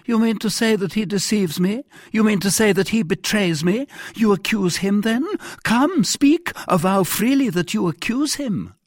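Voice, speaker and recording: Man putting on a petulant, high-pitched, complaining king. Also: expressive, normal speed, no echo, clean studio sound. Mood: agitated, accusing. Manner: querulous, theatrical, emphatic outbursts. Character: petulant, self-pitying, hot-tempered French king. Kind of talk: dramatised audiobook character voice.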